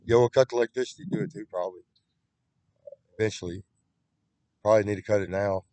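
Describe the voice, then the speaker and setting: A man's voice: lowish voice, steady tone, fast, warm tone. A man talking in a rambling, repetitive solo monologue, with frequent restarts, recorded on a computer webcam.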